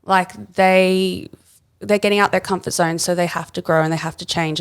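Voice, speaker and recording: A young Australian woman chats as a guest on a casual podcast. Her voice sits a little low and has plenty of vocal fry, and she talks in a loose, relaxed way full of fillers. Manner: chatty, relaxed, many "like" fillers.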